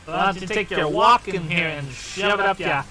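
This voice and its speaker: A man's voice: high-pitched, normal speed, pushed and bright, recorded from a distance, soft background music, voice pushed up. A man putting on a combative, mock-indignant East Coast tough guy in a joking role-play. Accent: put-on Boston or New York.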